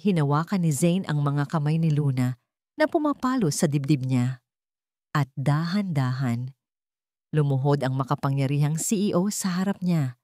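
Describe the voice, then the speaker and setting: Casting: female storyteller, lowish pitch, solemn dramatic scripted narration, dry studio-like sound. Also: dark tone, no echo.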